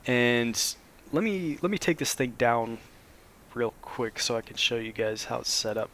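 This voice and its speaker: A man, a little hoarse after a cold, casually explaining a modded game as he plays, with plenty of ums and restarts. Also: mid-range voice, a little slow, a little hiss or hum. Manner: relaxed, rambling, lots of fillers. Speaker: Minecraft let's play host.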